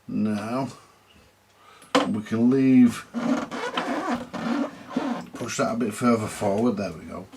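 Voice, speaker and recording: British bloke, gravelly voice, chatting and grumbling while he tinkers, noisy recording with clicks. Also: lowish voice, slow pace, pauses often. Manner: muttering to himself while working, mildly grumbling and sweary. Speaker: host of a vintage radio repair vlog. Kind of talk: casual hands-on repair commentary, talking to viewers.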